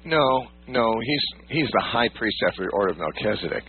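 A man hosting a live Bible call-in radio show, explaining in a measured way with a husky morning voice. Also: medium pitch, lively intonation. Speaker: radio host. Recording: muffled lo-fi radio, humming noise.

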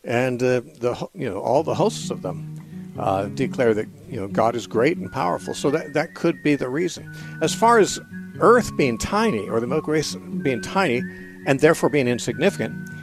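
A man's voice: medium pitch, animated, moderate pace, music in the background. A man hosting a call-in radio show, answering Bible questions thoughtfully with fillers and repeated words. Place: radio studio.

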